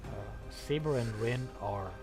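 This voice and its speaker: A man live streaming a game and chatting casually, halting and often repeating his words. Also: mid-range voice, music in the background. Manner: halting, repetitive. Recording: faint background noise.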